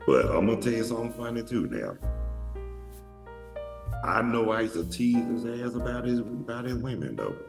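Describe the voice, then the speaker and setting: Deep gravelly guy just shooting the breeze, cussing, echoey room, mic kinda far off. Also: very animated, normal speed, long pauses, music in the background. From Atlanta, Georgia. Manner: rambling, profane, frequent restarts. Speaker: show host. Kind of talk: casual storytelling monologue.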